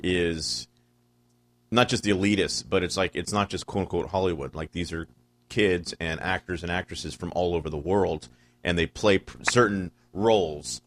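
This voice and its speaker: A man co-hosting a casual talk show, blunt and profane, with quick interjections. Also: low-pitched, fairly even intonation. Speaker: podcast co-host. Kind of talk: casual political chat.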